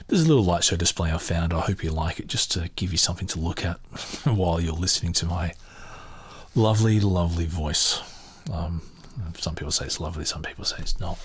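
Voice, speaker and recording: Deep husky guy hosting his podcast, rambly and laid back. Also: no echo. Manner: relaxed, rambling, with hesitations and self-corrections. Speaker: podcast host. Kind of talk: casual podcast chat.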